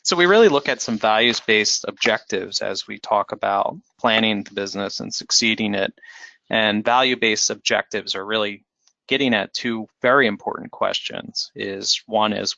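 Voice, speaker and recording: Low gravelly man presenting a webinar. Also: sharp tone, no room sound. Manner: measured, explanatory, with frequent fillers and restarts. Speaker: financial advisor presenting. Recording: dull lo-fi webinar audio.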